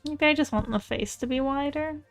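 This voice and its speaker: A woman artist chatting casually while she draws, thinking out loud in a rambling, tentative way. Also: high-pitched, brisk. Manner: rambling, musing aloud, tentative. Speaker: artist streamer. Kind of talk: casual chat while drawing. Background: music.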